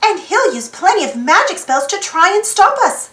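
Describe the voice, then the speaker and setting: A woman's voice: very high-pitched, sing-song, normal speed, a bit bright, roomy sound, voice pushed up, full character voice. A woman voicing an earnest, cheerful and caring little girl in a children's storybook. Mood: worried. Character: excitable, high-voiced little girl Annie, cheerful and caring.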